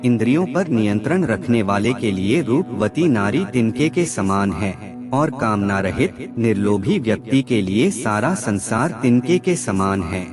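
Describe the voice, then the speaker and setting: A man narrating scripted aphorisms in a measured, deliberate recitation. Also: on the low side.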